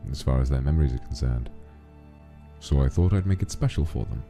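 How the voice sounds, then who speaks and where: An actor voicing a big, gentle, fatherly monster king who muses solemnly and tenderly in a comic dub. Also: lively intonation. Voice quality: deep, mellow. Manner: measured, musing, tender. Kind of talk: scripted narration in a comic dub. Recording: music behind the voice.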